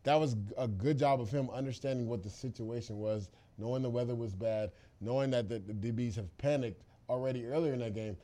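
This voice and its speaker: Fast-talking, mellow male football analyst. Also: medium pitch. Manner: emphatic, opinionated, conversational. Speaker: football podcast co-host and analyst. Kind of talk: casual sports analysis.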